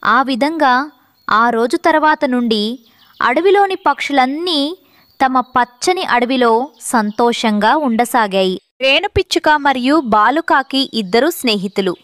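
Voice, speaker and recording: Woman narrator for kids moral stories, really high voice, dry close recording. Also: expressive, unhurried, a bit bright, no room sound. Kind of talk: scripted children's story narration.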